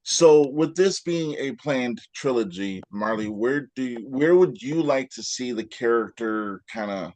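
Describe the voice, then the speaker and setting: A man's voice: medium pitch, expressive, measured pace, few pauses, a little mellow, no room sound. A man hosting a casual interview, rambling with lots of fillers and restarts. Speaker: host.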